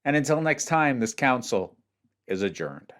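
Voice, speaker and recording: A man's voice: mid-range voice, sing-song, normal speed, noisy background. A male-sounding host in their thirties, speaking casually and expressively to viewers in a friendly way. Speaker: host, interviewer. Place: indoor room with shelves of toys.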